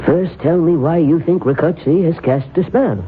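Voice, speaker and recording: A man's voice: on the high side, moderate pace, natural voice. An actor playing a scholarly male professor of occult lore, grave and a little hesitant, in an old radio drama. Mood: courteous. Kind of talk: 1970s radio drama acting. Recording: tinny lo-fi old radio broadcast with faint hiss.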